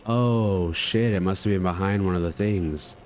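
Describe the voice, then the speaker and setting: A man's voice: sits low, a little slow, darkish tone, slight background noise. A man giving casual let's play commentary, rambling in slang and talking to his viewers. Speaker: gaming video host.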